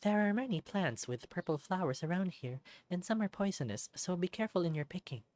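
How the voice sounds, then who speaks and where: A narrator putting on a soft, high-pitched mother fox. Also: lively intonation, brisk, no echo, natural voice. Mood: soft, serious. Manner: soft, tender, serious. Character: gentle, caring mother fox healer, soft-spoken.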